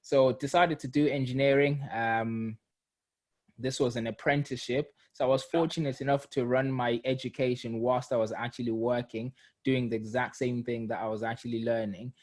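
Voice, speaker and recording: Man, thirties, from Zimbabwe, interview guest, unhurried reflective storyteller, mid-pitched voice. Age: 30s. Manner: relaxed, reflective storytelling with fillers. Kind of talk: casual interview.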